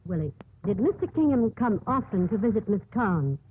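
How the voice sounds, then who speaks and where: An actress playing an earnest, gently probing nun in a 1950s radio drama. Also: female voice, medium pitch, very animated, moderate pace, slight background noise, natural voice. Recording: muffled, husky-sounding 1950s radio recording.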